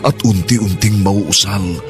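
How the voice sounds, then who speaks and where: A man with a Filipino accent narrating a radio drama in a solemn, florid, drawn-out theatrical style. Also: low voice, faint music behind.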